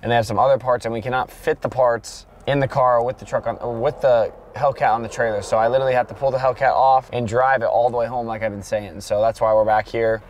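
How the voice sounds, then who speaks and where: A young man chatting casually on a car vlog, excitable and joking, filmed on a handheld camera in a truck and outdoors. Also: lowish voice, a little hiss or hum. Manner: excitable, joking, loud laughter. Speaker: car vlogger. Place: inside a pickup truck and outdoors at a garage.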